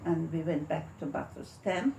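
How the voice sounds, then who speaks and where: A woman in her eighties with a Latvian accent, recalling her life in an interview, haltingly and searching for words. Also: low-pitched, creaky, dark tone. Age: 80s. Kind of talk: oral history reminiscence. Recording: echoey room with faint noise.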